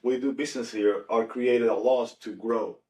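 A man from Venezuela with a Venezuelan accent, a native Spanish speaker, talking casually and informally in an animated way. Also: medium pitch, moderate pace. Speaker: podcast participant. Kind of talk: casual conversation. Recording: echoey room, distant mic.

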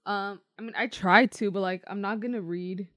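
A young woman talks casually as a podcast co-host. Her pitch is average and her phrasing is tentative, with frequent hedges. The recording is dry and free of room echo. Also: fairly even intonation, moderate pace, fairly bright tone. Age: young adult. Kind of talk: casual chat.

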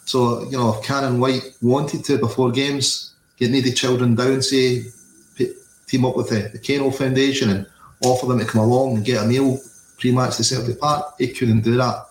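Give me a rambling Scottish co-host in a roomy space with faint background noise. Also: male voice, mid-range voice, level tone, moderate pace. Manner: rambling, repeats words, many you-knows. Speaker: podcast co-host. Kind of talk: casual football podcast chat. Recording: echoey room with faint ambience.

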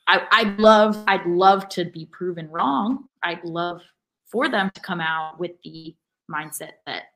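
A woman co-hosting a sports podcast, giving measured, analytical opinions in casual conversation. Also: on the low side, a bit bright, low-quality recording. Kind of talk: casual soccer fan discussion.

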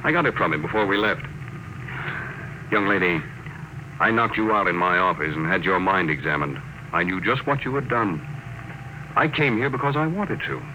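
An actor playing a shrewd, cocksure space tycoon with a wry, commanding manner in an old-time radio drama. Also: male voice, low-pitched, normal speed, long pauses, close to an everyday voice. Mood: amused, smug. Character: shrewd, brash, amused space-drive tycoon, a man. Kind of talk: 1950s radio drama acting. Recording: old radio recording with faint noise.

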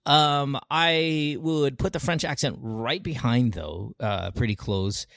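A Filipino man co-hosting a casual chat in English and Tagalog, emphatic and opinionated, quick to laugh. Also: medium pitch, gravelly, fairly bright tone, no echo, studio quality. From Philippines. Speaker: podcast co-host.

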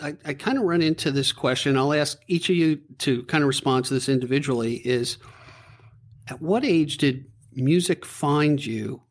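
A man in his fifties interviewing a band, chatty and given to rambling asides. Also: medium pitch. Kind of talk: casual interview.

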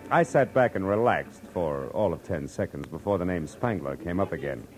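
An actor playing a wry, world-weary private eye, slangy and terse, in 1940s radio style. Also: male voice, sits low, lively intonation, moderate pace, over music, low-quality recording. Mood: wry narration. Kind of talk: 1940s radio acting, first-person narration.

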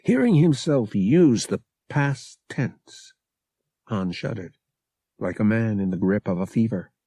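A man narrating fiction as an audiobook reader, dramatic and expressive with measured phrasing. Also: fairly low pitch, husky, no echo, studio quality.

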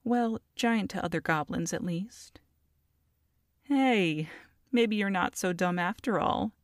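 A woman's voice: mid-range voice, slow pace, dry recording, studio quality, close to an everyday voice. A woman voicing a feisty, scheming goblin mother in an audio roleplay, sassy and haughty as she talks to a captive. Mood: self-pitying. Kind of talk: ASMR audio roleplay monologue.

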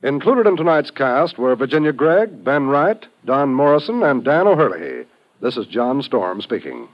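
Animated vintage male radio announcer. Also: mid-range voice, normal speed, faint music behind. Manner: formal, emphatic. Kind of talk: 1950s network radio announcing, scripted. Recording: dull old radio broadcast recording.

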